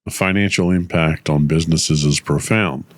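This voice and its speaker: A man hosting a tech news podcast, delivering measured, explanatory commentary with a voice slightly rough from a cold. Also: rumbling low, no room sound, studio quality. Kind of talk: news commentary, partly read from script.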